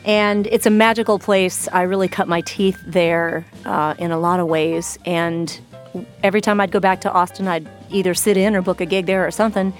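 A woman's voice: on the low side, fairly even intonation, normal speed, soft background music. A woman from the American Midwest, a musician and bar co-owner, answering interview questions in a chatty, storytelling way. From Midwestern United States.

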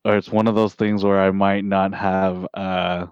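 Guest guy, lowish voice, kinda muffled, hesitant, lots of uhs. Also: little pitch movement, measured pace, smooth, no echo. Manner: hesitant, many fillers. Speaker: podcast guest. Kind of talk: casual chat.

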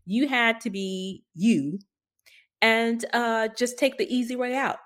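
A woman's voice: a little high, slow, a bit bright. A woman co-hosting a podcast in casual conversation, speaking informally and stressing her points by repetition. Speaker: podcast co-host.

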